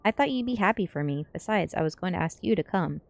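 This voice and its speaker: A woman's voice: on the low side, lively intonation, quick pace, gravelly, darkish tone, a little hiss or hum. A woman voicing an eager, lovestruck teenage girl, the pleading best friend in a story.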